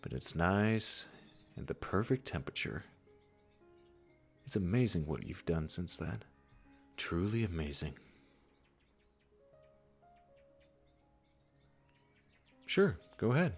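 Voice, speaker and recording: A man playing a gentle, wise supernatural gardener in a comforting audio roleplay, speaking soothingly and reassuringly straight to the listener. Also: deep voice, very animated, breathy edge, faint music behind, full character voice. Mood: warm, content. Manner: soothing, unhurried, reassuring, with soft chuckles.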